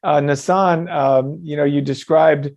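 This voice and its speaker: A man's voice: fairly high pitch, steady tone, unhurried, gravelly, darkish tone, dry recording. A man hosting a conversational interview show, affirming his guest and feeling his way through his phrasing with some hesitation.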